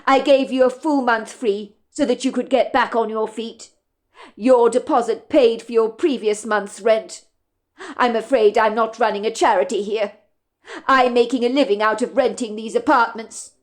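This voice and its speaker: A woman voicing a reluctant, apologetic male landlord in a read story, measured and regretful. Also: a little high, fairly even intonation, close to an everyday voice.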